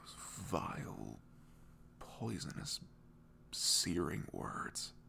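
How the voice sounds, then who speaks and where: A man playing an emotional, remorseful ex-boyfriend in an intimate ASMR roleplay, speaking softly and haltingly as he pleads and confesses. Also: sing-song, slight background noise, heavily acted. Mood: whispered pleading. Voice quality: soft, breathy, low murmur with creak.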